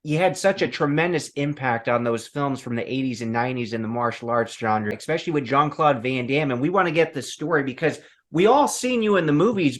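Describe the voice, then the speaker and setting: A man's voice: on the high side, a bit bright. A man in his thirties hosting an interview from a room at home, enthusiastic and eager. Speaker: interview host. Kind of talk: casual interview. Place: home room with shelves of films.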